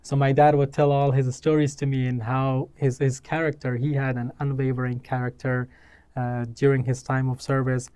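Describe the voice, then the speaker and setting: Mellow-voiced man recounting his youth. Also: mid-range voice, little pitch movement, clean tone. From Afghanistan, raised in Pakistan. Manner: measured, with frequent fillers and restarts. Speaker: guest. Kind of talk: conversational interview. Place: small dim studio room, seated in armchair.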